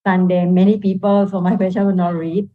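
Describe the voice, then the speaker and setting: A woman from Singapore asking a question as a meditation student, halting and searching for her words. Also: mid-range voice, little pitch movement. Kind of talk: question in a live online session. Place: video call. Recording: dull video call audio.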